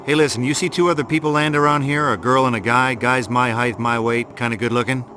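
Actor as a brash wisecracking American action hero. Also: male voice, medium pitch, steady tone, brisk, pushed and bright, faint music behind, close to an everyday voice. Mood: sardonic. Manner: cocky, slangy, sardonic. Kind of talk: video game cutscene acting.

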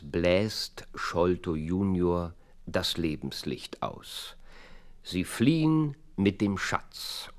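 Brilliant detective, radio play, dramatic, slow, very varied intonation, hushed endings. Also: male voice, low-pitched, full character voice. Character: brilliant, theatrical male detective, sure and impatient. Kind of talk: German radio play acting.

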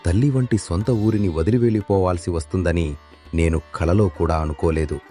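A man voices a humble, polite village father for an animated folk story. His voice sits very low and he speaks with earnest courtesy. Faint music plays behind him. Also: brisk, natural voice. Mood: sorrowful. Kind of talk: animated moral story voice acting.

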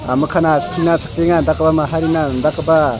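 A man casually commentating a football match from the sideline outdoors, shouting encouragement and laughing often. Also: fairly high pitch, fairly even intonation, brisk. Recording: crowd noise at an outdoor match. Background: crowd.

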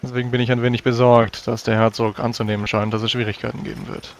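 A man's voice: medium pitch, level tone, fast, vocal fry, slight background noise, natural voice. A man playing a cautious, well-informed adventurer in a tabletop fantasy game, with a sober manner. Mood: concerned. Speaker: player in a tabletop roleplaying game.

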